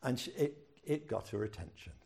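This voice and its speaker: A man's voice: lowish voice, expressive, normal speed, gravelly, a little mellow, husky. An older man, a pastor preaching a sermon in a chatty storytelling way with self-deprecating humor.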